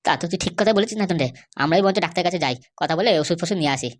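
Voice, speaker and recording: A woman's voice: on the low side, very fast, close to an everyday voice. A woman voicing a sly, insistent male schemer and constable in an amateur comedy cartoon. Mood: scheming, gossipy.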